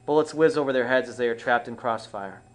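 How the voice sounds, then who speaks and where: A man's voice: mid-range voice, fast. A man reads stage directions from a script at a table read. His mid-range voice is plain and unpolished, and he corrects himself as he goes. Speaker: writer reading stage directions. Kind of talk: screenplay table read narration. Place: small dim indoor room.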